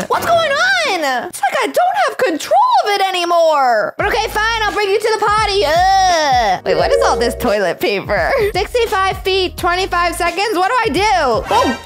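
A woman in her twenties giving exaggerated, dramatic gameplay commentary for kids, playful and full of exclamations. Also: very high voice. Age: 20s. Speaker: host of a gaming show for kids. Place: small indoor streaming room. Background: music.

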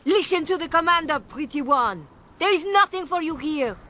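A woman's voice: sits high, lively intonation, moderate pace. An actress playing a scheming, seductive female spymaster villain in a game, mocking and silky. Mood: mocking, amused. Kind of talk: dramatic game dialogue. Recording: faint background noise.

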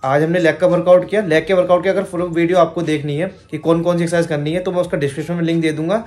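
A man's voice: a little high, level tone, very fast, darkish tone. A young man vlogging to camera in a room at home, giving direct, friendly fitness advice. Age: young adult. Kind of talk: casual talking-to-camera fitness tips.

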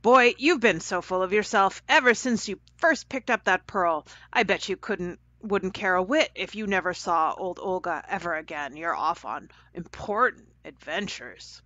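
A woman's voice: lowish voice, moderate pace, few pauses, pushed and bright, no echo. A woman voicing a weary older female servant who nags and complains, in a dry, dull recording. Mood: resentful. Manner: complaining, nagging, guilt-tripping.

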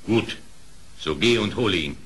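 A man's voice: fairly low pitch, animated, normal speed, a bit bright, slightly rough. An actor playing a stern animal king in a radio play, declaiming solemn commands. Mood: commanding. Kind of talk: German radio play acting.

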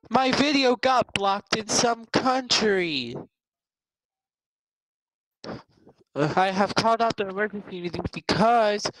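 Male online meeting host: slow, halting, swingy intonation, slightly noisy call audio. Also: high-pitched, fairly bright tone. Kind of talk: semi-scripted announcement on a video call. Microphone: video call microphone.

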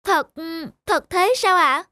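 A woman's voice: very high voice, takes it slowly, dry recording, full character voice. A woman voicing an eager, cheerful little boy bear cub in a cartoon. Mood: upset. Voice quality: bright childlike. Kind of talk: cartoon voice acting. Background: music.

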